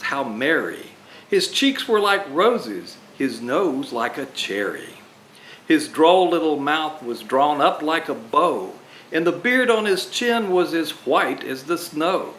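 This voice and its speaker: A man dressed as Santa reading rhyming children's books aloud in a slow, expressive way. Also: fairly high pitch, a little hiss or hum. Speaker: storyteller playing Santa. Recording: roomy echo.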